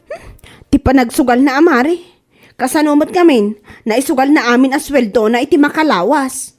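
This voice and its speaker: A woman's voice: high voice, normal speed, darkish tone, lightly acted. A woman with a Filipino Ilocano accent playing a hard-up, complaining wife who keeps borrowing, in a comedy radio drama. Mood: downcast.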